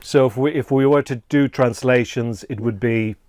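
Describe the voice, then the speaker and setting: A man's voice: mid-range voice, normal speed, darkish tone. A male host with a mid-range voice asks his guests questions in a hesitant, agreeable manner. He often stops and restarts, and the sound is dry with no room echo. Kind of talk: interview questions, casual.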